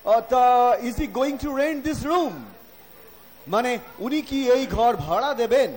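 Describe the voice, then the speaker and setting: A man acting a pompous Anglicised gentleman in an amateur audio drama, ponderous, mixing in English. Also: very high-pitched, slow. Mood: self-important, polite. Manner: ponderous, mixes English with Bengali.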